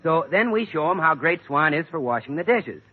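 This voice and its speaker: A man's voice: on the high side, big pitch swings, a little fast. An American man announcing on a 1940s radio show, with an eager salesman's patter. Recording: tinny, narrow-band vintage radio recording.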